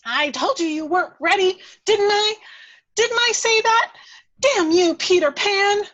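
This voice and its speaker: A feisty little fairy, played by a woman with a high, lifted voice. She is bold and theatrical, leaning hard on her words as she scolds and goads in a dramatic read-through. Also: unhurried, fairly bright tone, highs cut off. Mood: angry despair. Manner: emphatic, goading, theatrical.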